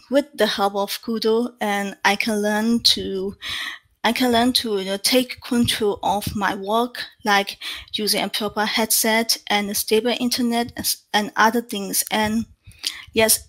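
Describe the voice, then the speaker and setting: A woman's voice: mid-range voice, a bit bright, poor audio. A woman in her thirties from Myanmar with a Burmese accent, answering interview questions over a video call with many fillers and restarts. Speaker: interpreter guest.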